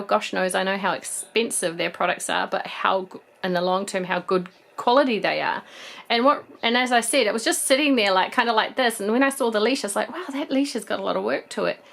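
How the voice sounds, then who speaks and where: A woman, casually showing off secondhand plush toys in a chatty, gushing way. Her voice is a bit croaky from a cold. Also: mid-range voice, fairly quick, a bit bright. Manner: chatty, gushing over toys, frequent ums. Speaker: toy collector vlogger. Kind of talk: casual haul show-and-tell.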